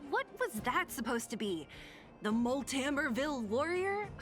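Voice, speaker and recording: A mocking young woman in a game, taking her time with each jab. Her voice sits high and moves freely, with faint music underneath. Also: sing-song, unhurried, fairly bright tone, exaggerated voice. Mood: teasing. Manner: sardonic, mocking. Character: dry, teasing young woman companion. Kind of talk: video game voice acting.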